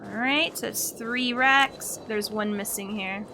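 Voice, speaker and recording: A woman's voice: a little high, slow, pushed and bright, a little hiss or hum. A woman doing casual, playful live game commentary as a streamer, given to exclaimed reactions.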